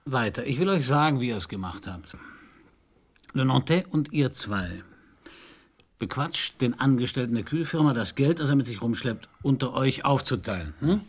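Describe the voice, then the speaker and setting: Husky, world-weary male detective. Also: mid-range voice, expressive, normal speed. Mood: probing. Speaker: lead actor and first-person narrator in a radio crime play. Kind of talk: German crime radio drama acting and narration.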